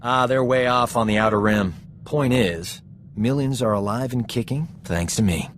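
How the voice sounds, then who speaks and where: Actor as a cocky, swaggering rogue doctor. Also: male voice, mid-range voice, normal speed, slight background noise, natural voice. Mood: cocky, breezy. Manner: breezy, swaggering, chummy. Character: cocky boastful male doctor, adventurous ladies' man. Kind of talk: video game voice acting.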